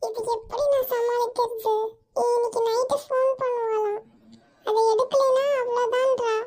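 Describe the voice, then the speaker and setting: A woman's voice: very high voice, level tone, moderate pace, darkish tone. A woman voicing an anxious, tearful young woman who is fearful and pleading. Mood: tearful, scared. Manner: tearful, trembling.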